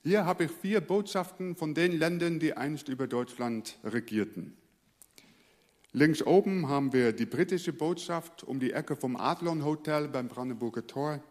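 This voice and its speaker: Dutch-born pastor preaching in a hall. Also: male voice, fairly high pitch, unhurried, a little mellow. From Netherlands. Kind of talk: sermon.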